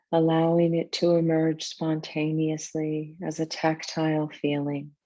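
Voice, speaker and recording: A woman teaching yoga nidra, narrating a guided meditation in a soothing, deliberate way with measured pauses. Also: sits low, warm tone, no echo, dull audio.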